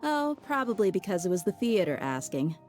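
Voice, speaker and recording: Wry laid-back bodyguard woman voiced by an actress, lively pitch, warm low tone, music behind. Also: a little fast, natural voice. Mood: teasing. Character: confident female mercenary bodyguard, teasing and protective. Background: game soundtrack music.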